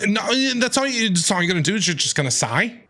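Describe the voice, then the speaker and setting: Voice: medium pitch, very animated, fast talker, pushed and bright. A streamer giving casual, playful, chatty commentary while playing through a game. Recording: game music behind.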